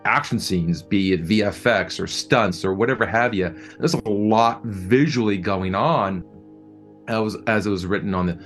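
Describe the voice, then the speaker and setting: A man's voice: on the low side, a little slow, faint music behind. A man answering interview questions as a producer, conversational and enthusiastic, often repeating words as he thinks. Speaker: film and audio drama producer being interviewed.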